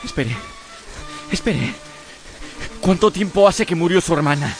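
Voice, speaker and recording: A youthful, well-bred gentleman of a radio drama, with a fairly high voice. His speech is polished, formal and theatrical, with a haunted edge, over faint music. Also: lively intonation, unhurried. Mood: panicked, pleading. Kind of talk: Spanish-language radio drama acting.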